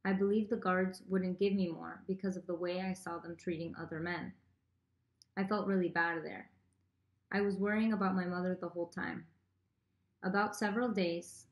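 A young woman seated indoors, reading a first-person legal declaration slowly and carefully. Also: mid-range voice. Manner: slow, careful reading from paper. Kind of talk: solemn reading of testimony. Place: small indoor room with plain wall, seated. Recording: echoey room.

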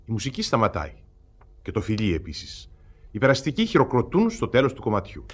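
A man's voice: mid-range voice, huge pitch swings, background noise. A man narrating a radio play as a scripted storyteller, setting out the scene.

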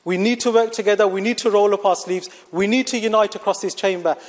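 A man's voice: high voice, fairly even intonation, quick pace. A man giving an emphatic, formal political speech as a city councillor. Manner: emphatic, oratorical, repeating phrases for effect. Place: large indoor council chamber. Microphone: gooseneck desk microphone. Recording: reverberant hall sound.

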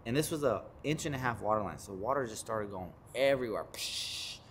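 A man's voice: mid-range voice, expressive, brisk, a bit bright, slight background noise. A man in his thirties, a business owner vlogging blunt advice, emphatic and profane, repeating his key points. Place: home office with studio monitors, also outdoors and in a truck.

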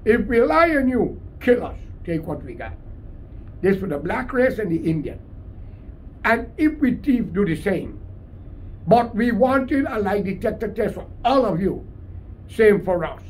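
A man's voice: sits high, sing-song, unhurried, low-quality recording. An older man in his sixties with a Guyanese accent, giving a combative, emphatic unscripted political monologue to camera. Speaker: political commentator. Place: small cluttered room indoors. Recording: clear background room noise.

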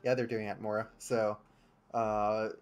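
A man's voice: lowish voice, little pitch movement, measured pace, smooth, soft background music. A young man streaming a game from his home room, chatting casually with plenty of fillers and restarts. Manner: rambling, many fillers and restarts. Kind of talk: casual chat while gaming.

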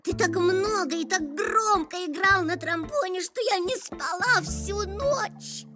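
A woman voicing a perky, caring young girl character in a children's cartoon, expressive and encouraging. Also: very high voice, slow, music in the background. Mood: exasperated, sheepish.

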